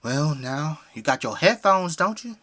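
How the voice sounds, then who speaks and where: A man's voice: on the high side, big pitch swings, unhurried, pushed and bright, heavily acted. An actor playing a friendly, folksy middle-aged family man with an American Southern accent in an audio drama. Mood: placating. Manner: effusive, folksy, hospitable.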